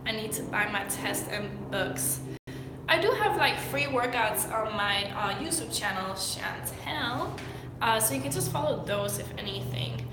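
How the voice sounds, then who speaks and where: A young woman from Denmark, sick and clearing her throat, answering viewer questions in a rambling, chatty way with lots of fillers on a livestream. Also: fairly high pitch, lively intonation, unhurried. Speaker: fitness vlogger. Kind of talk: casual livestream Q&A. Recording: echoey room with faint noise.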